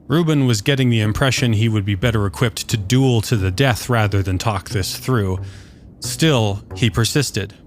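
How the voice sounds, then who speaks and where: A man's voice: lowish voice, moderate pace. A man reading scripted fiction as the narrator of a story. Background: soft guitar music.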